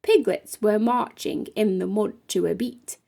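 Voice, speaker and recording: A woman's voice: mid-range voice, measured pace, gravelly, mellow. A woman reading a rhyming children's picture book aloud as a storytime narrator. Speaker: storytime reader.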